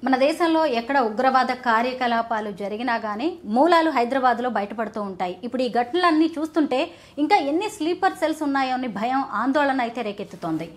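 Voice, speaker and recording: A woman's voice: high-pitched, animated, fast talker. A woman working as a TV host and journalist, putting news questions and commentary to a guest.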